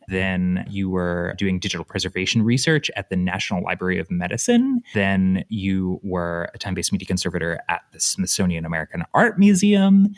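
A man hosts a podcast and runs through his guest's career with open admiration. His voice sits a little low. He then asks his question in a friendly way. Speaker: podcast host. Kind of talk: interviewing a guest.

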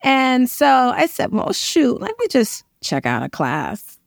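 Expressive, high-voiced woman telling stories. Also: a little slow, vocal fry, dry recording, studio quality. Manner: expressive, emphatic, often checking in with "right?". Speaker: interview guest, occupational therapist and educator. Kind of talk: animated personal storytelling in a casual interview.

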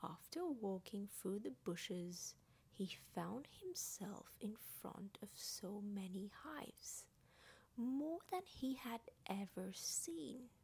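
A woman narrating a children's bedtime story in an expressive, deliberate way. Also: mid-range voice, vocal fry, slightly rough.

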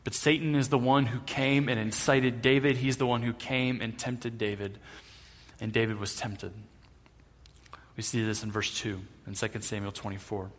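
A man's voice: mid-range voice, steady tone, moderate pace. A man preaching a sermon as pastor in a conversational way with light humor, in a church sanctuary, with a long hall reverb on the recording.

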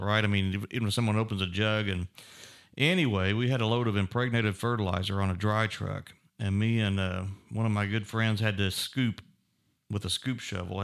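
A man's voice: lowish voice, vocal fry, fairly bright tone. A man hosting a podcast, chatty and rambling, who laughs easily. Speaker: podcast host. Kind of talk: casual chat. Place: podcast room.

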